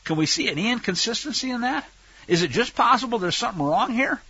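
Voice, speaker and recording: An American man with a husky voice preaching a Bible lesson in an emphatic, sarcastic way, full of rhetorical questions. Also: extremely high pitch, animated, fast talker, pushed and bright, no echo, highs cut off. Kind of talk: sermon, Bible teaching with political commentary.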